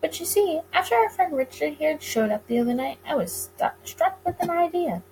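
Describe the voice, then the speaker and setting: A woman's voice: high voice, lively intonation, normal speed, music in the background, pitched above the performer's own voice, poor audio. A woman voicing a sly, flirtatious male speakeasy boss in a visual novel, with a courtly, teasing manner. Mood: smooth, persuasive. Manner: smooth, courtly, teasing, wordy.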